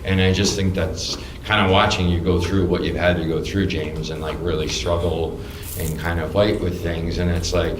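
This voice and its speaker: A man from New York with an American accent, speaking from the audience at a gallery talk in halting, heartfelt, repetitive remarks. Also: deep voice, little pitch movement. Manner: halting, repeats words, heartfelt. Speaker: audience member. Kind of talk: casual, rambling remarks to a panel. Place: art gallery during a public talk. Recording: roomy and noisy gallery room.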